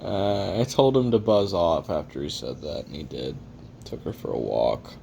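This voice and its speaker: A man plays a hard-drinking, jaded male assassin taping his memoirs for an audio roleplay. His voice is low, with a gravelly fry, and he rambles wryly, cursing and putting himself down. Clear hiss and rumble sit under the recording. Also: moderate pace, mellow. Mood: self-deprecating, weary. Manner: profane, wry, rambling, self-deprecating. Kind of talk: confessional audio roleplay monologue.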